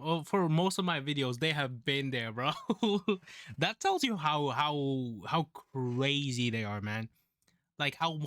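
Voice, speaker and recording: A man hosting a reaction video, with a slightly raspy voice and a rambling, excitable, slangy way of talking. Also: a little high, no room sound, clean studio sound. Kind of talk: casual reaction commentary.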